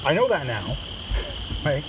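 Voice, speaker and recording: A man's voice: fairly high pitch, big pitch swings, takes it slowly. Man sharing testimony, distant and very noisy. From Trinidad, moved to Canada as a child. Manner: conversational, often checks in with "right?" and "okay?". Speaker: speaker giving a personal testimony. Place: outdoors at a men's canoe trip gathering. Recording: distant mic, loud outdoor insect and ambient noise.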